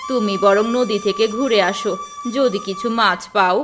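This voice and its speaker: A woman voicing a caring, weary village wife and mother, consoling and soft-spoken, in a cartoon folk tale. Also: mid-range voice, moderate pace, over music, close to an everyday voice.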